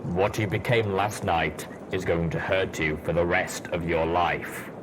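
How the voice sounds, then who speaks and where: A man voicing a grave, blunt ghoul pony soldier in a storybook reading, speaking slowly and solemnly. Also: deep voice, fairly even intonation, background noise. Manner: slow, solemn.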